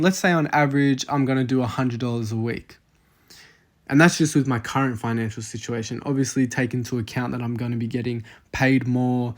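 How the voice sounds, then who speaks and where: A young Australian man in his twenties talks casually through figures on his screen. He thinks aloud, full of "um" and "like". His voice sits at an ordinary middle pitch. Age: 20s. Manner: casual, filler-heavy, thinking aloud over numbers. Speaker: investing vlogger. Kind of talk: casual screen-share walkthrough.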